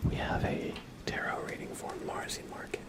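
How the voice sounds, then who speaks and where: A young man hosting a relaxed ASMR livestream, mostly whispering and speaking softly in a reassuring, encouraging way. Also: normal speed. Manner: soft-spoken, mostly whispering. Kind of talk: relaxed ASMR, chatting with viewers and reading tarot cards.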